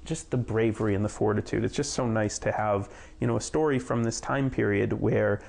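A man's voice: on the low side, fairly even intonation, brisk, vocal fry, darkish tone. A man in his thirties, a film producer, answering interview questions hesitantly with many fillers, in a home office on a lapel mic. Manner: hesitant, many ums and you-knows.

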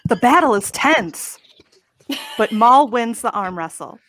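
A woman narrating the stage directions of a comic table read in a straight-faced, clearly enunciated way. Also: a little high, expressive, a little slow, a bit bright, a little hiss or hum.

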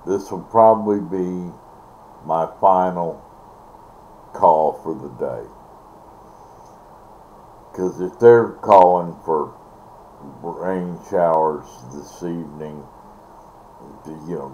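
An older man with an American accent chatting casually to viewers, halting and teasing, at a computer in a bedroom. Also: low voice, a little mellow, lo-fi sound. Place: small bedroom, indoors. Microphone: computer mic at close range. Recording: faintly noisy home computer recording.

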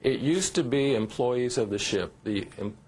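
A man's voice: mid-range voice, animated, normal speed, darkish tone, breathy edge, rough recording. A man in his fifties explaining things as a lawyer guest on a live television news interview. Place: remote TV studio.

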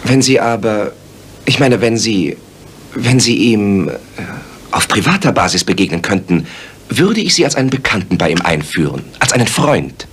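An actor playing an earnest, worried gentleman narrator in a German radio play. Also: on the low side, sing-song, normal speed, a little hiss or hum.

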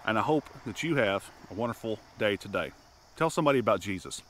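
A man's voice: fairly low pitch, lively intonation, quick pace, slight background noise. A man in his thirties giving a casual, earnest sermon to the camera from a grassy field at dawn, crickets chirping behind him. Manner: folksy and earnest, greets with "howdy" and "y'all". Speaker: homestead video preacher. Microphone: camera at chest height, talking straight to it.

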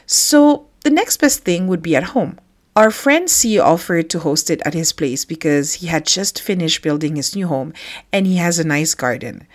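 Middle-aged woman, from the Philippines, podcast host, personal storytelling, chatty, reflective, low voice. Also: expressive, moderate pace. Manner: warm, chatty, reflective with light laughs.

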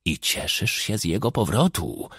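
A man's voice: sits low, big pitch swings, moderate pace, husky, no room sound, studio quality, voice pushed down, heavily acted. A man voicing a bawdy, ruthless old village witch who gives coarse, conspiratorial instructions in an audiobook. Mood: bawdy, scheming.

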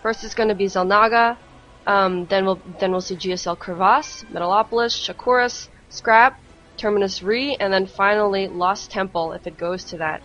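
A woman co-hosting a casual live esports stream, speaking in a somewhat hesitant, halting way. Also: medium pitch, a bit bright, dull audio. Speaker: esports co-caster. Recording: music playing under the voice. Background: music.

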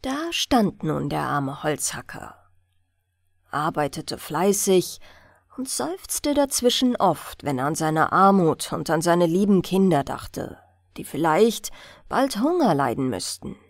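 A woman narrating a bedtime audiobook in a gentle, expressive, deliberate storytelling style. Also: on the low side, dry recording, studio quality.

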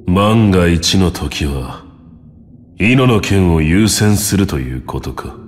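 An actor voicing a grim, weary immortal warrior in a video game, speaking in a stern, formal, measured way. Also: male voice, low-pitched, lively intonation, a little hiss or hum. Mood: grave. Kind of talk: Japanese video game voice acting. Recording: dramatic music under the voice. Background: loud music.

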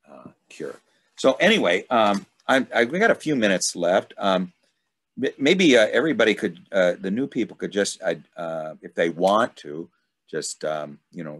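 A middle-aged man hosting an informal online study group, talking in a rambling, halting way over a video call. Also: fairly low pitch. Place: indoors at home, video call. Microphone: video call microphone.

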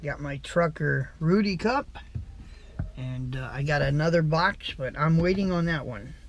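A middle-aged American man vlogging casually about his day, relaxed and chatty, filming himself in his vehicle and on a porch. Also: a little high, a little slow. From USA. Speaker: trucker vlogger. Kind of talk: casual vlog chat. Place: in a parked vehicle, on a home porch outdoors. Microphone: camera mic close up.

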